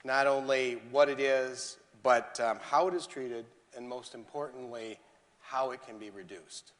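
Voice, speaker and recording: A man moderating an onstage interview, speaking in an earnest, measured way and trailing off quietly at the ends of sentences. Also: medium pitch, fairly even intonation. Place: lecture hall. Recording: reverberant hall.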